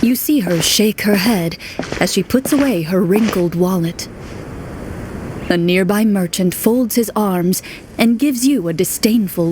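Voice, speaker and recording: An actress playing a game master who narrates a tabletop story with measured care and voices a troubled townswoman. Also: mid-range voice, background noise, close to an everyday voice.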